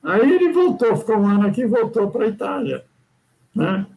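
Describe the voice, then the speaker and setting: An older Brazilian man with a high, gravelly voice tells sea stories in a loose, rambling chat. He keeps adding little questions to his sentences and restarts his thoughts as he goes. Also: sing-song, normal speed, darkish tone, poor audio. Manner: rambling, frequent "né?" tags and restarts. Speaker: guest sailor. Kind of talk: casual storytelling chat.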